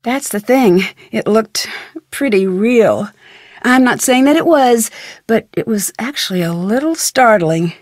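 A woman playing a curious, level-headed young detective in a video game, speaking casually and clearly, as if chatting on the phone. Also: on the high side, lively intonation, unhurried, darkish tone, no echo, clean studio sound. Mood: weary, candid. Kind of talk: video game voice acting, conversational phone dialogue.

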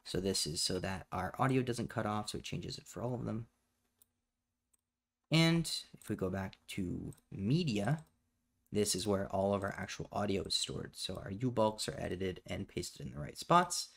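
Low-voiced man walking through a software tutorial. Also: animated, moderate pace, vocal fry. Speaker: tutorial host. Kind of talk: step-by-step software tutorial narration. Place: indoors at a computer, voice over screen capture.

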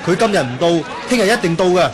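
An actor playing a young martial-arts disciple in a Hong Kong Cantonese radio drama, respectful, formal and pleading. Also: male voice, sits high, lively intonation, quick pace. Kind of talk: 1980 radio drama acting. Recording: old radio drama with crowd behind.

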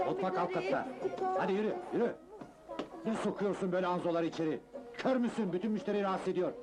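Animated, brusque nightclub manager. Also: male voice, high-pitched, fast, over loud music, over a noisy crowd. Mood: impatient, bossy. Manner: brusque, exasperated. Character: harried, brusque male nightclub manager, deferential to his boss. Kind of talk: Turkish comedy film acting.

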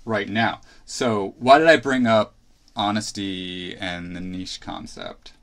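Low gravelly man musing aloud. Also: steady tone, slow pace, a bit bright. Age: 30s. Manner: musing, thinks aloud with restarts and asides. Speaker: podcast host. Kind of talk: solo opinion monologue.

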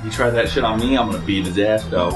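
A man co-hosting a gaming show, reacting loudly, laughing and teasing his partner as they play. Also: fairly low pitch, fast. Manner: excitable, swearing, laughing, teasing. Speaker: co-host playing along. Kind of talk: casual let's-play commentary. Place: indoor room, seated on a couch. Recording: roomy echo with loud game music.

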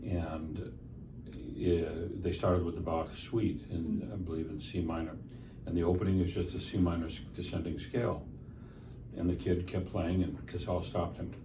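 An older man interviewing a musician in casual conversation, relaxed and rambling with fillers. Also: low voice, normal speed. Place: small room with a framed map on the wall. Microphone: stand mic, filmed by a far camera. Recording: roomy, distant, noisy.

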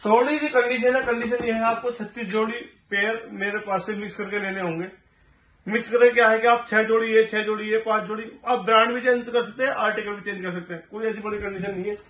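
Guy in his 30s with a high voice selling shoes to camera, echoey warehouse, mic kinda far off. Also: level tone, quick pace, fairly bright tone. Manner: pitching stock, repeating "thik hai ji". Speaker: shoe wholesaler. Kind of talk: sales pitch to camera. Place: large tiled warehouse stacked with shoe boxes.

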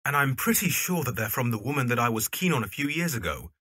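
Man acting Christopher, an animated, earnest young gentleman. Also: mid-range voice, quick pace, no room sound, clean studio sound. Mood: eager. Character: Christopher, earnest, polite young Victorian music teacher. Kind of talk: audio drama dialogue.

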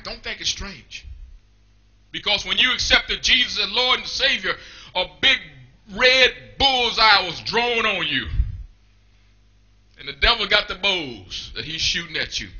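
A middle-aged man preaching a fiery revival sermon in a small church, shouting in rhythmic, repeating calls. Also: high-pitched, muffled recording.

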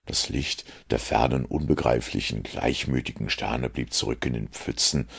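A man narrating a dark audio story from a script, in a grave, deliberate manner. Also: rumbling low, vocal fry, slightly rough.

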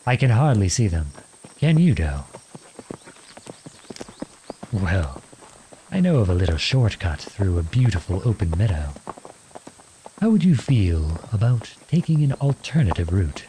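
Man voicing young outlaw prince, low lively voice, gentle, chivalrous, formal phrasing, romantic fantasy ASMR roleplay. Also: normal speed, a little hiss or hum, low-quality recording. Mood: playful. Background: horse hooves clip-clopping.

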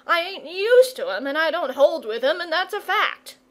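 A woman's voice: extremely high pitch, sing-song, normal speed, pitched above the performer's own voice. A woman voicing a nervous, folksy older shepherd father with rustic dialect in a storybook reading. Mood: wary. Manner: folksy dialect speech, plain-spoken.

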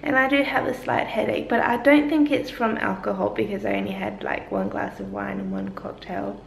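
A young woman vlogging casually at home, tired and croaky-voiced, rambling and laughing often as she chats to her camera. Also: mid-range voice, expressive, moderate pace, slight background noise. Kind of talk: casual daily vlog. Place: home kitchen. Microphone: handheld camera at arm's length. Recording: echoey kitchen with faint ambience.

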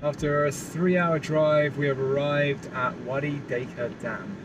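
A man's voice: fairly high pitch, fairly even intonation, measured pace, distant mic, over loud music. A man in his thirties, a travel vlogger, chatting with enthusiasm while filming at arm's length in a car. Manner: enthusiastic, upbeat commentary. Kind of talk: casual travel vlog chat. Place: inside a car, then outdoors.

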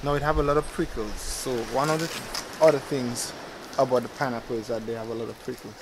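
A man's voice: mid-range voice, a little fast, noisy background. Man explaining his garden. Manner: relaxed explanatory, points at the plant as he talks. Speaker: gardening channel host. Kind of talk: casual how-to gardening vlog. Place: outdoor backyard garden beside a hoop house. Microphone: camera close up at face level.

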